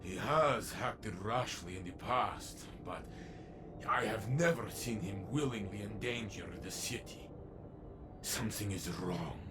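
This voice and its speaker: Earnest, slow-spoken heroic warrior. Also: male voice, lowish voice, very noisy. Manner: earnest, declamatory, formal phrasing without contractions. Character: loyal, brave, bombastic old warrior, protective friend. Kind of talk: scripted video game acting. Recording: music behind the voice.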